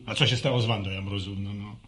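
A young man playing a practical, peacemaking farm son in a Polish radio drama, admonishing in halting rural speech. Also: lowish voice, steady tone, a bit bright, natural voice. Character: exasperated, peacemaking grown farm son, practical older brother.

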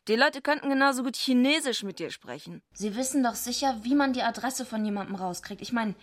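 A woman acting in a radio play as a tough, bitter, streetwise heavyweight wrestler, gruff, blunt and slangy. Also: fairly high pitch, lively intonation, brisk, a bit bright. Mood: wistful, hurt.

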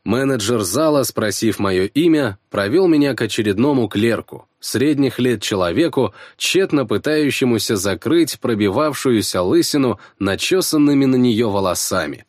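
A male audiobook narrator reads scripted fiction in a medium-pitched voice. His intonation moves widely and expressively through the story. The recording is dry, with no room around him. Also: animated, normal speed, few pauses, no echo.

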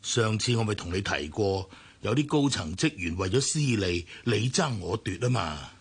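A husky, sighing older company director. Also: male voice, on the low side, lively intonation, normal speed. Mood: urgent, pleading. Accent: Hong Kong Cantonese. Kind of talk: radio drama acting.

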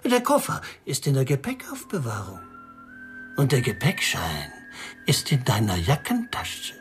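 An actor playing a smooth, menacing gangster in a radio crime drama, unhurried, sardonic and taunting. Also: male voice, mid-range voice, huge pitch swings, music in the background. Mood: cool menace. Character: smooth menacing male gangster, coolly mocking.

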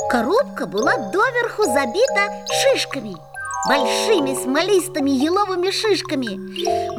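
High-voiced, wide-eyed boy storyteller. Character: young boy narrating his magical adventures, wide-eyed. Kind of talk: storytelling in a children's audio play.

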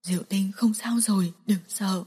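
A gentle young man murmurs soft, tender reassurance, as a woman narrator puts him on. The tone is mellow and a little husky, with a long reverb trailing behind. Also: medium pitch, fast, close to an everyday voice. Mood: soothing. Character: gentle, worried young man comforting her.

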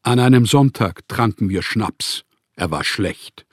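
An actor playing a melancholy, self-mocking male novelist in a radio play, with a laconic, deadpan delivery. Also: on the low side, measured pace, breathy edge, no room sound, clean studio sound. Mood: wry, melancholy.

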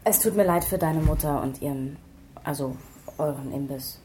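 A woman's voice: deep voice, normal speed, noisy background, natural voice. An actress playing a concerned, probing female police investigator in a naturalistic radio crime drama. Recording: music behind the voice. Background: music.

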